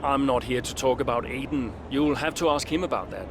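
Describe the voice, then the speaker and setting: An actor playing a veteran racing driver in a game cutscene, proud and weary, with a clipped, dry, guarded delivery. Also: male voice, mid-range voice, fairly quick, noisy background, lightly acted. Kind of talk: video game cutscene acting.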